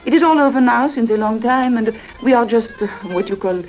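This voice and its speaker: A woman's voice: a little high, brisk, a little mellow, lightly acted, poor audio. A woman playing an alluring, self-assured European countess in a 1950s radio drama, coy and coaxing, with a Central European accent and foreign phrasing. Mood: tender, wistful.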